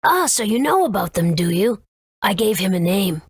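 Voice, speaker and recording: A woman's voice: medium pitch, big pitch swings, normal speed, slightly rough, dry recording, studio quality. A woman voicing a scrappy, caring young boy in a video game, speaking in a casual, earnest way. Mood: casual, worried.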